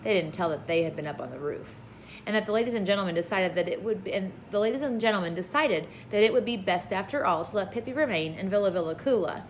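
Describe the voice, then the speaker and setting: A woman reads aloud from a children's book in an informal storytelling style. Her voice sits at an average pitch. A faint layer of noise runs behind the reading. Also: animated, quick pace, poor audio. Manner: informal, with brief asides to listeners.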